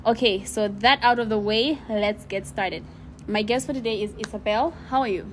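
A woman's voice: medium pitch, moderate pace, slight background noise. A young adult woman hosting a podcast in a bubbly, chatty way with frequent laughter. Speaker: podcast host. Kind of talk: casual interview chat.

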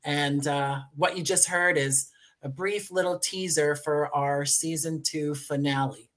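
A man's voice: on the high side, steady tone, unhurried, a bit bright. A man speaking as an interview guest, presenting his creative project in an articulate, promotional way. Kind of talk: interview conversation.

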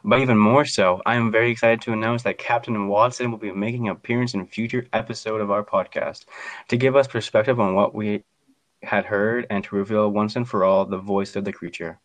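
A man's voice: fairly low pitch, steady tone, fairly quick, dry recording. A man hosting a podcast, reading a scripted introduction in a formal, grandiose manner. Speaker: podcast host.

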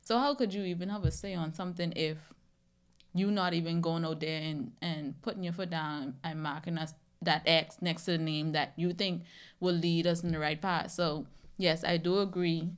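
A woman's voice: fairly low pitch, steady tone, normal speed, clean tone. A woman in her twenties from The Bahamas, chatting casually as a podcast guest. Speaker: podcast guest sharing own experience. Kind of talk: casual chat.

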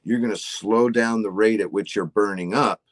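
A man's voice: fairly low pitch, moderate pace, no echo. A man in his forties explaining health ideas in a casual chat, often checking in with "right?". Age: 40s. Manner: explanatory, frequent "right?" tags. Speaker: supplement company guest.

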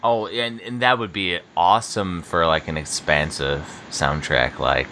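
American guy hosting a chill chat, kinda low voice, bright and punchy, rambles and laughs a lot. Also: steady tone, unhurried, slight background noise. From United States. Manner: laid-back, rambling, laughs often, many fillers. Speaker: podcast host and book publisher. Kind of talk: casual interview chat.

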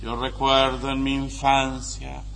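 A man reciting poetic prose in a solemn, measured monotone. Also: mid-range voice, vocal fry. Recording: faint noise and hum.

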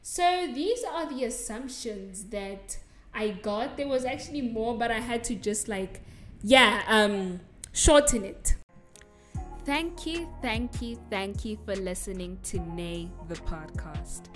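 A woman's voice: a little high, lively intonation, slow, soft background music. Giggly South African woman podcaster, echoey. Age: young adult. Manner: chatty, giggly, with many likes and ums. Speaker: podcast host. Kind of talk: solo podcast answering listener assumptions. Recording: echoey room.

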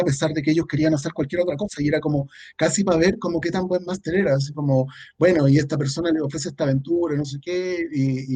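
A man with a Chilean accent in casual panel conversation, halting and repeating words as he forms his thoughts. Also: a little high, mellow, husky, no room sound. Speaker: panelist on a tabletop role-playing talk show.

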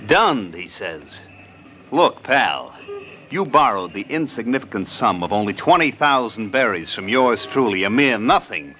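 Slangy tough moneylender, sarcastic, very animated, sharp tone, 1950s radio crime show. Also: male voice, on the low side, a little slow, exaggerated voice. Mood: aggrieved, mocking. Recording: slightly noisy old radio recording.

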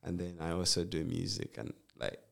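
A young man from Zambia, a nurse and musician, chatting in a relaxed, rambling way as an interview guest, with lots of fillers and restarts. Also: low-pitched, moderate pace, vocal fry. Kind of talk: casual interview chat.

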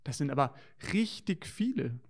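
A man's voice: a little high, very animated, moderate pace. A man co-hosting a children's show, talking in a playful, wondering way to children in a scripted dialogue.

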